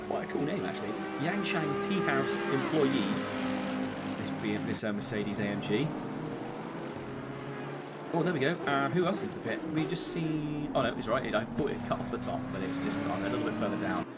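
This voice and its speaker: A man with a slightly croaky voice, calling a sim race live in a chatty, excitable way that jumps to sudden calls of the action. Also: medium pitch, normal speed, loud music behind the voice. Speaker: sim racing commentator. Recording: loud game engine noise under the voice.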